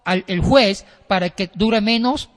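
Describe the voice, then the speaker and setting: A man's voice: high-pitched, unhurried. Man explaining church history, reverberant. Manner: explanatory, with frequent restarts and hesitations. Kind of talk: casual discussion of church history. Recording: long reverb.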